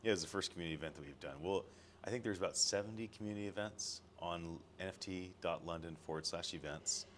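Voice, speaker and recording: An American man hosting a relaxed interview, rambling and chatty with lots of fillers. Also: low voice, fast talker, few pauses, a little hiss or hum. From United States. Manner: rambling, chatty, laughs often, trails off with fillers. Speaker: host. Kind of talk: casual interview. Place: room at an event in London.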